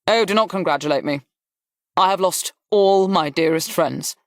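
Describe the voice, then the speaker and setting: A narrator voicing a brisk, blunt aristocratic general in an audiobook, with clipped, emphatic phrasing. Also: medium pitch, dry recording, clean studio sound, lightly acted. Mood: heartbroken, grave. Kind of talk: audiobook character dialogue.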